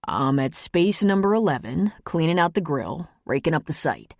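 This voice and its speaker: A female narrator voices a calm boyfriend in a romance audiobook. He sounds steady and reassuring, in a slightly low register and a dry space. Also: normal speed, no echo, full character voice. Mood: calm, tender. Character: steady, affectionate adult man, protective boyfriend.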